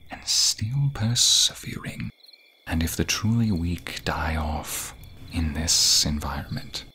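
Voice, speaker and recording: Man playing a gentle naga guardian, low creaky voice, formal old-fashioned wording, hushed ASMR roleplay. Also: a little hiss or hum, heavily acted. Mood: earnest, encouraging. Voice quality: breathy whisper. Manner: slow, hushed, formal archaic phrasing. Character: gentle male naga, grateful nocturnal snake-man guardian.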